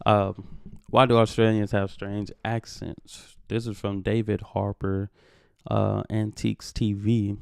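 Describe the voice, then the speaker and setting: A Texan man hosting a reaction video, talking loosely and rambling with lots of ums and you-knows. His low voice has a gravelly edge, yet it comes through clean and resonant. The sound is close and dry. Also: little pitch movement, slow, a little mellow, dry recording. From Texas, USA. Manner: rambling, chatty, lots of fillers. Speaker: reaction channel host. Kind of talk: casual reaction commentary.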